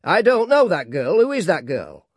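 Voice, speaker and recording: A man voicing a rigid, domineering middle-aged Victorian schoolmaster who speaks slowly and emphatically, read for an audiobook. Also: high-pitched, sing-song, breathy edge, no echo, studio quality, pitched above the performer's own voice, full character voice. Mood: stern. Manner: slow, emphatic, dictatorial. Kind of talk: audiobook character reading.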